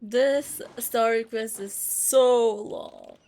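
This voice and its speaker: A woman's voice: on the high side, very slow, mellow. A woman game streamer talking casually to her live chat.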